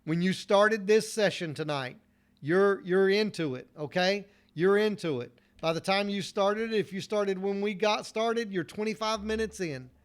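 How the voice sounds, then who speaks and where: A man with a fairly high voice gives a motivational talk to listeners. He speaks earnestly and directly, circling back over his phrases with a preacher-like rhythm. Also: a little slow, clear, resonant tone. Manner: repeats phrases for emphasis, preacher-like cadence.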